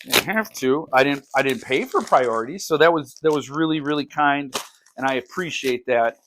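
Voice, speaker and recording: A man's voice: medium pitch, normal speed, noisy background. A man talking casually and unscripted as a book vlogger, thinking aloud in broken, conversational phrases. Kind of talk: casual unscripted talk to viewers.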